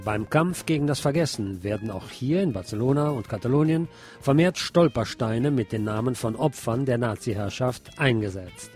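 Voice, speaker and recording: A man's voice: mid-range voice, normal speed, a little mellow, soft background music. A man presenting on radio, reading scripted local news and commentary.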